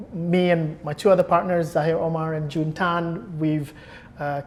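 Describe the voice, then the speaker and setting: A man's voice: a little high, darkish tone, a little hiss or hum. A man speaking as a guest in a conversational interview, often searching for words and rephrasing as he explains. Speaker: interview guest, film producer.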